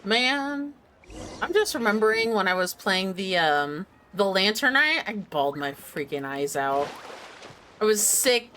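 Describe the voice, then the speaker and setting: A woman's voice: medium pitch, sing-song, unhurried, bright, forceful tone, a little hiss or hum. A woman streaming a game with casual, slangy, offhand commentary and exclamations. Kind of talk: casual live playthrough commentary.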